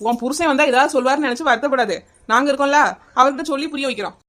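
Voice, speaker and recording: Fast, high, brash village woman, acted. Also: fairly even intonation, a bit bright. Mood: exasperated. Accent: southern Tamil Nadu. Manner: blunt, scolding. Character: brash, impatient tall village woman, teasing neighbour.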